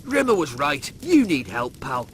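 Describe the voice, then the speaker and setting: A man's voice: on the high side, big pitch swings, a little slow, pitched below the performer's own voice. A man voicing an exasperated, sarcastic young slob in a sci-fi comedy audiobook. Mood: mocking. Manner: griping, slangy, combative. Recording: noisy background.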